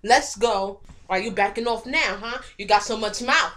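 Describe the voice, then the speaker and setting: A woman's voice: fairly high pitch, normal speed, very noisy, lightly acted. A woman playing a confrontational young female bully in a dramatic monologue, with slangy, repetitive taunts. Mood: aggressive.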